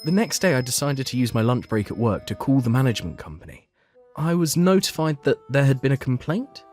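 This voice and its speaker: A man's voice: medium pitch, sing-song, a little fast, darkish tone, soft background music, lightly acted. A man playing an earnest, anxious young office worker who narrates his own story in a manga dub. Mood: uneasy, bewildered.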